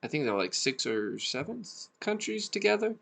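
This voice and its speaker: A man born in Serbia, co-hosting a podcast in a relaxed, casual chat, swearing freely and trailing off mid-thought. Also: fairly high pitch, fairly quick, gravelly. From Serbia (former Yugoslavia). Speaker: podcast co-host.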